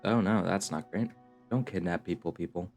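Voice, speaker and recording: A man's voice: sits low, moderate pace, creaky, darkish tone. A man doing casual, rambling live-stream commentary on a video game, with jokey reactions. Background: game music.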